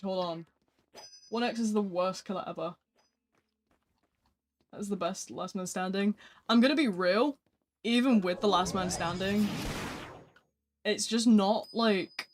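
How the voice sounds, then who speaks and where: A gaming streamer chatting casually during a live stream, answering viewer messages in a flat speaking voice. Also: mid-range voice.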